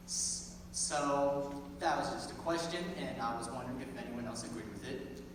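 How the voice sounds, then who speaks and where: Teenage male, theater student, informal halting monologue, far from the mic, heavy hall echo. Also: medium pitch. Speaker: high school theater student. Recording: echoey hall, distant mic, clear background noise.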